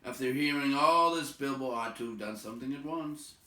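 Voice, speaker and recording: A man reading a storybook aloud as an expressive narrator. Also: mid-range voice, brisk, reverberant, recorded from a distance. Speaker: storybook reader.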